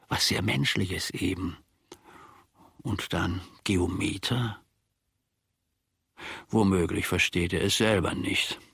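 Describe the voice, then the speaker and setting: A self-important old manservant for radio drama: low, croaky, grumbling and rambling to himself. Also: male voice, fairly bright tone, exaggerated voice. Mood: puzzled, grumbling. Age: older. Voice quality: gravelly, husky. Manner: pompous, rambling, muttering to himself. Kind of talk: radio play monologue.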